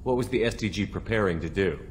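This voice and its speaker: A man's voice: on the low side, fast talker, natural voice. A man playing a composed, probing military commander in video game dialogue. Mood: calm, questioning. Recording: clear ambient noise behind.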